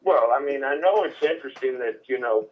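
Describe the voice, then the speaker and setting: A man's voice: mid-range voice, animated, fairly quick. A man taunting prank callers over the phone, rambling with lots of fillers. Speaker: prank call target. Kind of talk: phone conversation. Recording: dull phone line.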